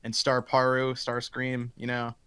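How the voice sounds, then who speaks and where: A man's voice: mid-range voice, completely flat delivery, measured pace, a crowd in the background. A man co-hosting a podcast in casual group chat, rambling along in a self-deprecating way. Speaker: podcast co-host.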